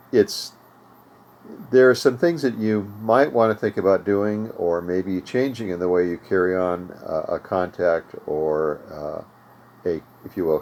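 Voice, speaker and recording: An older American man speaks in a deep, low register as he teaches informally. His explanations come in measured, halting stretches with pauses between thoughts. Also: darkish tone. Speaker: host of amateur radio tutorial series. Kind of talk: informal instructional talk. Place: home radio room.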